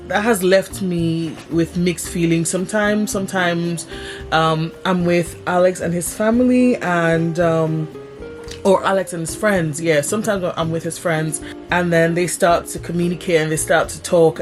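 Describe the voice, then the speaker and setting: A young woman vlogging casually to her viewers, chatty and laughing often. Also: on the low side. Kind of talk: casual vlog talk to camera. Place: village home abroad.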